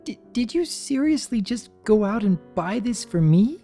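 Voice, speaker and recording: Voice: medium pitch, sing-song, moderate pace, dark tone, soft background music, pitched above the performer's own voice. A storyteller voicing a small young male dragon, earnest, nervous and affectionate, with a hesitant manner. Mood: flustered. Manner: stammering, hesitant.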